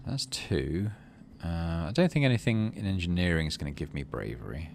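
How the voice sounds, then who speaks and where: Low-voiced man musing through a game. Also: big pitch swings, a little fast, vocal fry, slight background noise. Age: middle-aged. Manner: musing, thinking aloud. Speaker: gaming video host. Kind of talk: casual let's play commentary and story narration.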